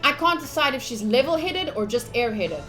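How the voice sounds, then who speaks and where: A young woman streaming a visual novel, reading the text aloud with casual, gushy, expressive commentary. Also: high-pitched, normal speed. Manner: expressive, gushy, slips into cute exclamations. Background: soft game music.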